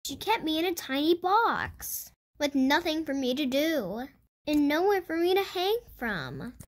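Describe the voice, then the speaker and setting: A woman reading a picture book aloud to children in an animated storytelling style. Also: sits high, a little slow. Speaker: children's storybook reader and host. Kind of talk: animated read-aloud narration for kids.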